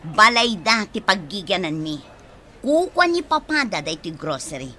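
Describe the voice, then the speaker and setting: Actress as greedy guardian aunt; shrill, tense, scolding. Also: female voice, big pitch swings, a little slow, sharp tone, slight background noise. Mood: scheming. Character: harsh, greedy woman guardian of orphans. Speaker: radio drama actor. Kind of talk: radio drama acting.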